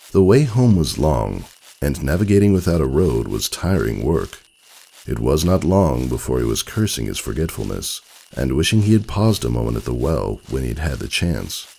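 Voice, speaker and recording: A man giving a dramatic storybook narration in a measured, solemn, theatrical manner. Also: very deep voice, creaky, mellow, husky.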